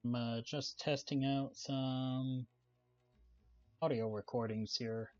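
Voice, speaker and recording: A soft-spoken man giving casual, relaxed commentary while playing a video game, with lots of "uh" fillers. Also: mid-range voice, slow pace, dull audio, soft background music. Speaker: gaming channel host. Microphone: desk microphone.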